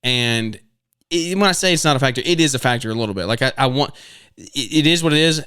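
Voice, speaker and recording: Earnest young man, smooth dry voice. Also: medium pitch, animated, quick pace, bright, forceful tone, no room sound. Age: 20s. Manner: earnest, rambling with many restarts and fillers. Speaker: podcast host. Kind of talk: casual advice monologue.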